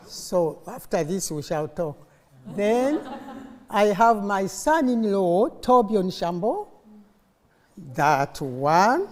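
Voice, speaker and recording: Older-ish ugandan lady giving an informal talk, slow pace, asks the audience stuff, big echoey room. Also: medium pitch. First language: Luganda. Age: middle-aged or older. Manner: animated storytelling, prompting listeners with questions. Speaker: conference speaker. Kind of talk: informal conference speech with audience call-and-response. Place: conference hall, live talk to an audience. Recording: echoey hall, long reverb.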